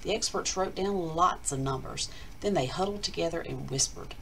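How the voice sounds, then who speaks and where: A woman hosting storytime, reading aloud to children in an expressive, emphatic picture-book style. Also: fairly low pitch, moderate pace, vocal fry, a little hiss or hum, poor audio. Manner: emphatic, addressing children directly. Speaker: storytime host, school reader.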